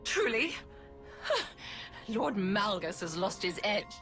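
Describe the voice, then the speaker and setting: An actress playing a pompous, scornful Sith lord scientist in a video game, with elaborate, disdainful diction. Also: female voice, mid-range voice, very animated, slow, fairly bright tone, faint music behind, exaggerated voice. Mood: disdainful lecturing. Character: haughty, theatrical female Sith lord villain, scornful scientist. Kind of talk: video game villain acting.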